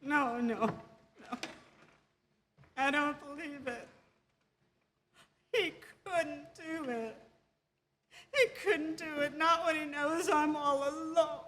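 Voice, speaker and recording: Casting: neurotic, imperious invalid wife for suspense radio play; high, sharp, pleading voice, near hysteria. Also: female voice, slow, long pauses, slight background noise. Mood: despairing panic. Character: anxious, demanding, self-pitying invalid wife spiraling into hysteria. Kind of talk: theatrical radio drama acting.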